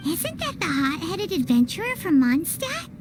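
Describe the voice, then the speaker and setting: A woman voicing a small, childlike fairy companion in a video game, chirpy, excitable and outspoken, with a squeaky voice. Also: normal speed. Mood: chatty. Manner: exclamatory, excitable. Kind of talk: animated game dubbing. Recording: clear loud noise behind the voice.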